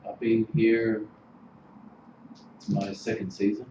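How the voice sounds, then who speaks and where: A man's voice: fairly low pitch, little pitch movement, slow pace. A man from Greece in his forties, a hotel manager giving an informal workshop with lots of fillers. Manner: chatty, informal, filler-heavy. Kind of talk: relaxed presentation to a class. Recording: roomy, noisy room recording.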